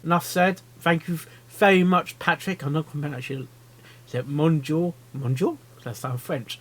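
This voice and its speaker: A man giving casual live reaction commentary, rambling and repeating himself in a halting way. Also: on the high side. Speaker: reaction video host.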